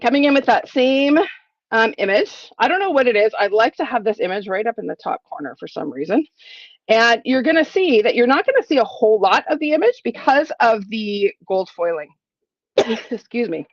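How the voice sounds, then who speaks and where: A woman's voice: fairly high pitch, sing-song, normal speed, no echo, highs cut off. A woman in her forties, a little sniffly, giving a chatty live crafting tutorial from her home craft room and thinking aloud as she works. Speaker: crafting demonstrator.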